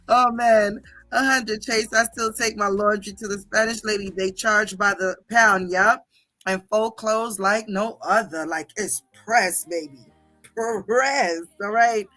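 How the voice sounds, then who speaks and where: A middle-aged woman, chatting casually and telling stories as a livestream host, sassy and slangy with drawn-out emphasis. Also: mid-range voice, a bit bright, rough recording. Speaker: livestream host reading viewer comments.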